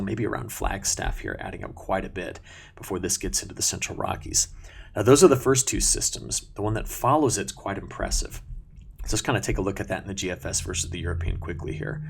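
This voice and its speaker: A man's voice: on the low side, creaky, slightly rough. A man explaining a weather forecast over maps in a conversational, unscripted way, as a forecaster walking viewers through the details. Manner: conversational, unscripted, pointing things out.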